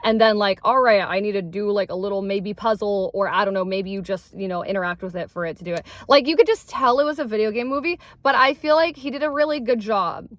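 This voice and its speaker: A woman's voice: mid-range voice, fast, few pauses, a bit bright, no room sound. A young woman co-hosting a casual movie review chat, rambling and filling her sentences with "like". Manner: rambling, heavy use of "like", laughs easily.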